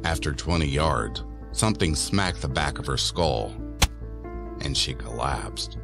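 A man with an extremely low voice narrates a scripted horror tale. Prominent music plays underneath his storytelling. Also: expressive, measured pace, gravelly.

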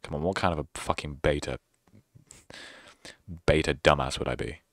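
A man's voice: a bass voice, fairly even intonation, normal speed, no echo. A young man streamer closing out his stream with casual, rambling, self-mocking sarcastic chatter into a close microphone. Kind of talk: casual end-of-stream chat.

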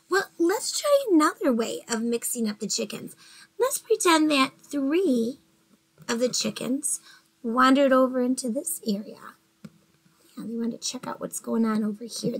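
A woman in her forties teaching a math lesson to young children from her bedroom, gentle and encouraging, often asking them questions. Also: on the high side, rough recording. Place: bedroom at home, indoors.

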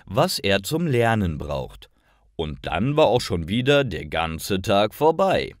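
Slow, very animated male storyteller. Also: on the low side, no echo, studio quality. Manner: deliberate, expressive storytelling. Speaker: narrator of stories for German learners. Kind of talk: scripted narration, slow read-aloud story for learners.

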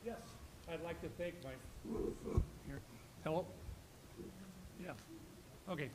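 A man's voice: a little high, slow pace. A man, a city council member, starting an informal speech of thanks at a public meeting. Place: large council chamber, indoors. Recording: distant, quiet voice under clear room noise.

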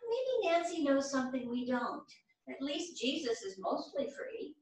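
A woman's voice: high voice, sing-song, unhurried, darkish tone. A woman in a staged play reading, playing a candid older widowed mother with an earnest, storytelling delivery. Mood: urging, organizing. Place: theatre stage, indoors. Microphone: far camera. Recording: echoey theatre stage from far off.

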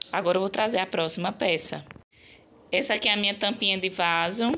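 A slightly hoarse woman with a Brazilian accent, explaining her craft work in a chatty, casual vlog style. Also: lowish voice, a bit bright. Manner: chatty, explanatory, frequent check-in tags. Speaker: crochet channel host.

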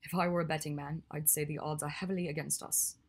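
A woman voicing a reserved young wizard who speaks quietly with dry humor, in a low husky voice. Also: flat delivery, quick pace. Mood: teasing.

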